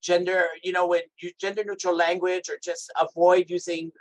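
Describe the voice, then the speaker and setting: A man from Hawaii with a naturally high voice, giving an emphatic workshop talk over a video call and checking in with "right?". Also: normal speed, poor audio. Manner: lively, emphatic, asks "right?" often. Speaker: health equity trainer. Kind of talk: workshop presentation.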